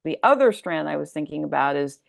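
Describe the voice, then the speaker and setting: A woman's voice: low-pitched, expressive, a little fast, vocal fry, dry recording, clean studio sound. A middle-aged American woman talking casually as an interview guest, thoughtful and discursive as she works out her answers. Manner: thoughtful, discursive, thinking aloud. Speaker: guest author discussing her story collection.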